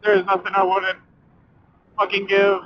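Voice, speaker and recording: A young man chatting casually and excitably as a motorcycle vlogger, swearing and using slang as he rambles while riding. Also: high voice, normal speed. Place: outdoors, riding a motorcycle at night. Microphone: helmet communicator mic inside helmet. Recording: muffled helmet intercom mic, clear background noise.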